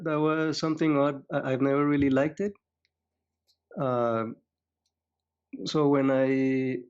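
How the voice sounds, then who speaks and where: Man from Colombia, interview guest, modest, repeats words, mid pitch, dull lo-fi audio. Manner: soft-spoken, modest, repeats words as he goes. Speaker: guest, tea adviser. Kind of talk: casual interview.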